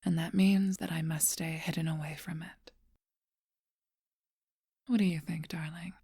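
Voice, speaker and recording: A woman voicing a seductive, ageless, possessive female vampire in an ASMR role-play, speaking in a soft, breathy whisper with slow, intimate teasing. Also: deep voice, steady tone, no room sound, clean studio sound, heavily acted.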